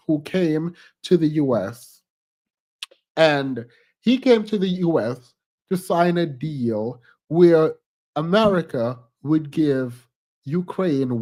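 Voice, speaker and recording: A man with a cold talks through the news in an unhurried, chatty way. His voice sits a little high and sounds stuffed up. He muses out loud, pausing on ums and restarting his sentences. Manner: chatty and reflective, frequent ums and restarts, occasional laughs. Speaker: commentary channel host. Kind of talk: casual political commentary.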